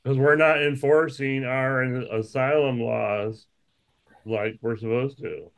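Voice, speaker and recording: Male co-host, steady and matter-of-fact, mid-pitched voice. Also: slow, gravelly, clean tone. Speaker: podcast co-host. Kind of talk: casual political chat.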